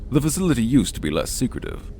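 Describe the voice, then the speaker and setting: An actor playing a stern, condescending senior scientist in an audio drama, speaking in measured, authoritative phrases. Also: male voice, medium pitch, wildly swooping pitch, gravelly, a little mellow, a little hiss or hum. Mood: composed, measured. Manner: measured, authoritative, clipped orders.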